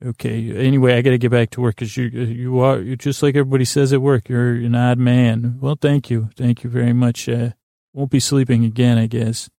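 Guy rambling on a sleep podcast, flat delivery, really dry close sound, keeps restarting sentences. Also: medium pitch, gravelly, no echo. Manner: meandering, halting, repeats words and restarts sentences often; self-deprecating. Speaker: podcast host.

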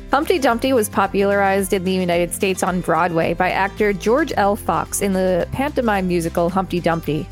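A woman with a medium-pitched voice co-hosts a podcast. She reads reference text aloud and chats about it in a casual way. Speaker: podcast co-host. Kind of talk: reading encyclopedia pages aloud with casual commentary.

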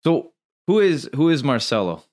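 A man's voice: a little high, big pitch swings, moderate pace, a little mellow, no room sound. A man hosting a podcast from his own small studio, keeping the chat going with short, friendly prompts. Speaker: podcast host. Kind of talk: casual chat.

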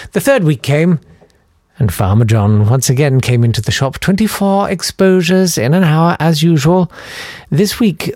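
A man chatting through listener emails with plenty of ums and asides, his pitch swinging freely as he jokes. The sound is close and dry, with no room around it. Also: dry recording. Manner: rambling, jokey, lots of fillers. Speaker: podcast host reading listener emails. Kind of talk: casual chat.

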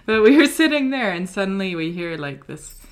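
A woman's voice: mid-range voice, big pitch swings, fairly quick, smooth. A young British woman co-hosting a podcast, telling a story in a casual, rambling way with many ums and likes. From Britain. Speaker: podcast co-host. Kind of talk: casual chat.

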